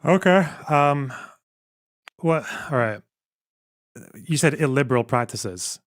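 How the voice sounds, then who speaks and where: A man's voice: a little high, lively intonation, slow pace, dry recording, clean studio sound. A man on a live online debate panel, pressing with challenging questions.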